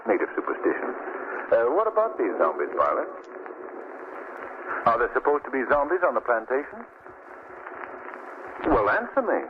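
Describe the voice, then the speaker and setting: An actor playing a confident, reassuring and inquisitive gentleman traveler, brisk and polished in 1940s radio style. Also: mid-range voice, huge pitch swings. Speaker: actor in a radio horror play. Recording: tinny, noisy old radio recording.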